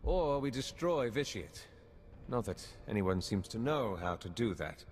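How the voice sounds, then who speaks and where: An actor playing an earnest, plain-spoken undercover agent in a video game. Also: male voice, lowish voice, very animated, measured pace, a little mellow. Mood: concerned. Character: earnest male undercover intelligence agent in space war. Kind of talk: dramatic video game dialogue. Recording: long reverb, background hum and ambience.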